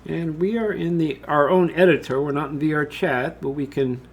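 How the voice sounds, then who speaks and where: A man giving an informal screen-share software tutorial, talking through his steps and thinking aloud as he works. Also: a little high, steady tone, moderate pace, breathy edge. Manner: casual, thinking aloud, addresses viewers as guys. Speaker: tutorial host.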